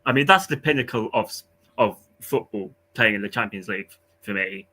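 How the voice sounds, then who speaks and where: Bright-voiced enthusiastic male caller. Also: lowish voice, a little fast. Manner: enthusiastic, thinks aloud. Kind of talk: casual fan chat.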